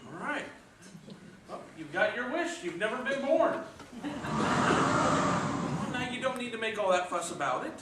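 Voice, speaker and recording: An actor on stage playing a kindly, fussy guardian angel who talks gently and excitably. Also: male voice, very high-pitched, moderate pace, long pauses, very noisy. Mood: excited. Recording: echoey stage from far off.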